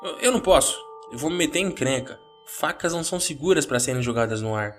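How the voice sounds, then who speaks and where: A frightened little boy played by a man protests in a raised, high voice. He sounds timid and anxious, in a horror audio tale with faint music behind. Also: lively intonation, quick pace, a bit bright. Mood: anxious refusal.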